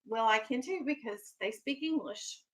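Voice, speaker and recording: A woman's voice: fairly high pitch, normal speed. A woman teacher reading aloud to young children, explaining simply, asking questions and firmly keeping them on task. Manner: instructive, simple explanations, checks understanding with questions, firm with children. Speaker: elementary school teacher.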